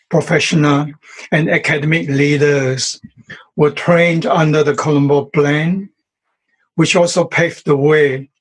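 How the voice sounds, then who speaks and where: A male professor reads his prepared questions in a husky, somewhat high voice. He speaks very slowly and formally, like a set interview script. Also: rough recording. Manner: measured, formal delivery.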